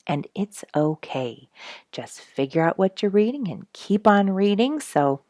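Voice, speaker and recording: A woman's voice: medium pitch, sing-song, unhurried, a little mellow, no room sound, studio quality. A woman reading a children's storybook aloud and chatting encouragingly to young listeners as host. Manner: encouraging, addresses young readers directly. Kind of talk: read-aloud children's storybook with casual asides.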